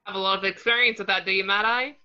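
Woman playing a bright, cheeky young wizard prankster. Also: mid-range voice, steady tone, very fast. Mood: flippant, teasing. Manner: flippant, teasing. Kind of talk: table read acting. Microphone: video call. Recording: muffled video call audio.

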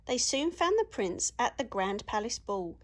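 A woman reads a rhyming picture book aloud to children. Her pitch swings in a lively sing-song, and she takes her time over the verse, with expressive storytelling throughout. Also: measured pace. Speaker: teacher reading aloud.

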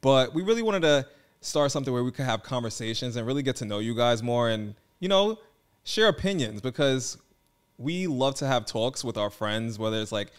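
A man in his twenties co-hosting a podcast, chatting playfully and teasing, recorded on a boom mic in a studio. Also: expressive, normal speed, smooth. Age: 20s. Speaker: podcast co-host. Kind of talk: casual chat. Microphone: boom mic on stand.

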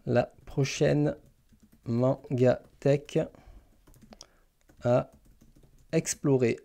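Creaky-voiced man chatting on a live stream. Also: mid-range voice, steady tone, very slow, mellow. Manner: hesitant, thinking aloud while typing. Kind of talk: casual live stream chat. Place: small indoor room lined with bookshelves.

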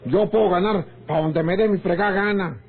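Casting: a bossy, menacing ranch husband, rural northern Mexican accent, high voice, thin old-radio sound. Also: male voice, a little fast, breathy edge. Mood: bossy, irritated. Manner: bossy, folksy rustic speech. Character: domineering, hot-tempered rural peasant husband, jealous and menacing. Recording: tinny, band-limited old radio serial.

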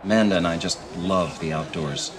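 Casting: male actor for a genial, persuasive husband in a game cutscene, folksy and deep-voiced. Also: moderate pace, close to an everyday voice. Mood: eager persuasion. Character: genial adventurous husband, self-styled businessman seeking a guide. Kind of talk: film-style dialogue in a game cutscene. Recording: noisy game soundtrack behind dialogue.

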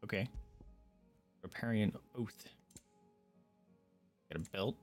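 Man, fairly low voice, casual game streamer, reads screen text aloud, muttered asides, music in the background. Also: takes it slowly. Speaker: streamer playing a video game. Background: video game music.

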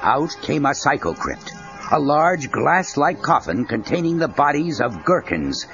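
A man's voice: fairly high pitch, big pitch swings, measured pace, a bit bright. A man narrating a children's adventure story in a scripted, dramatic style. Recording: dull cassette with music underneath. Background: background music.

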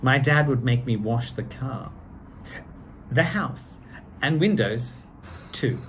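A man's voice: medium pitch, slow, slight background noise. A middle-aged man with a British accent reading a children's story aloud in a theatrical, genteel manner. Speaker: co-host of children's read-aloud channel. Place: living room with piano, indoors.

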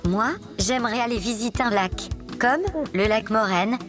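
A woman voicing a calm, helpful talking lamp-speaker gadget who explains facts in an even, informative way. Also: fairly high pitch, normal speed, close to an everyday voice. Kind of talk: children's puppet show. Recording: music behind the voice.

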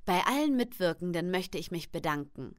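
A woman's voice: lowish voice, lively intonation, normal speed, dry recording, clean studio sound. A woman who acts in audio dramas, speaking a thank-you message. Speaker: voice actor.

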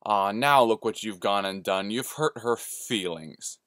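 A man's voice: on the low side, unhurried, a bit bright, no room sound, studio quality. A man playing a blunt, confrontational vampire woman in a story, sardonic and needling. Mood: reproachful.

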